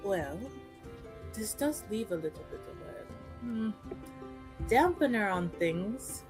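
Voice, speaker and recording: A woman playing in a tabletop game stream, moving between casual chat and roleplay with expressive, dramatic emphasis. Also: mid-range voice, slow pace. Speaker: player in an actual-play tabletop show. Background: music playing underneath.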